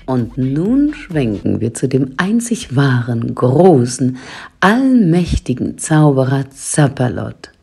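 A woman's voice: very deep voice, very animated, slow pace, gravelly, dark tone, husky. A woman narrating a scripted audio story as its storyteller.

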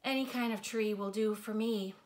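A woman's voice: mid-range voice, fairly even intonation, normal speed, natural voice. A woman voicing a businesslike woodcutter in a storybook, with a plain, decisive manner. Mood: matter-of-fact. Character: businesslike woodcutters sizing up trees, matter-of-fact. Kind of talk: storybook character reading.

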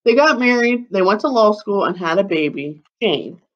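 A woman in her thirties reading a children's picture book aloud like a schoolteacher, with deliberate phrasing. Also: mid-range voice, animated, dull audio. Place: living room at home, seated on a couch. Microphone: webcam or laptop mic at about arm's length.

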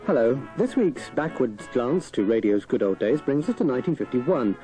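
Mellow male radio presenter. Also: mid-range voice, very animated, normal speed, faint music behind, poor audio. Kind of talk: scripted nostalgic narration.

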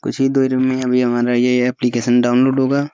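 A young man with an Indian accent walks through a phone app step by step. His voice is mellow and smooth, with little rise and fall. The recording is dry and slightly muffled. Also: mid-range voice, monotone, fairly quick, no room sound. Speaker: tutorial host.

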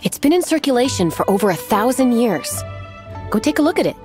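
A woman playing a composed, shrewd innkeeper in a video game, knowing, teasing and unhurried. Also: fairly high pitch, very animated, over music. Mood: composed instructing. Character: shrewd, composed innkeeper boss lady, coolly amused.

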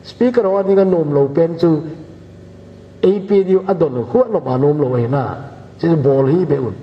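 An older man from northeast India preaching a measured, unhurried sermon as pastor in a church hall. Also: on the high side, expressive. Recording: echoey church hall, dull lo-fi sound, faint hiss.

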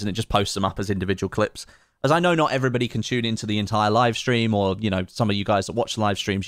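A man's voice: fairly low pitch, no room sound, clean studio sound. A man, chatting casually on a live game stream, reading chat aloud and making sarcastic asides. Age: late 20s.

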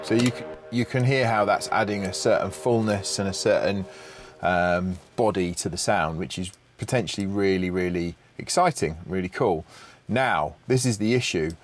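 Low-voiced man thinking through a tutorial. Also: normal speed, faint music behind. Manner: hesitant, thinking aloud. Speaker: audio production tutorial presenter. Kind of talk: unscripted screen-share tutorial.

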